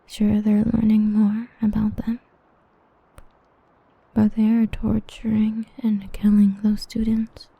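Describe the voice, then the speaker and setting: A young woman voicing an obsessive, protective half-demon villain in a hushed, intimate ASMR roleplay whisper. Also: mid-range voice, completely flat delivery, gravelly, breathy edge, no echo, lo-fi sound, full character voice. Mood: hushed menacing. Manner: hushed, intimate, slow and brooding.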